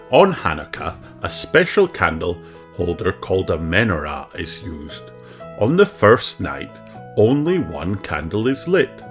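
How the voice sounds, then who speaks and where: An expressive storybook reader for young children. The delivery is unhurried and swings widely in pitch, with music playing underneath. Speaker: audiobook reader. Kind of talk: children's storybook read-aloud.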